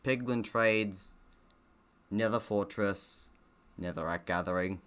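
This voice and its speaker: A man's voice: lowish voice, slow, a bit bright, clear, resonant tone. A man who streams games, reading a visual novel aloud, stumbling a little and dropping in offhand, self-deprecating comments. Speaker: gaming streamer.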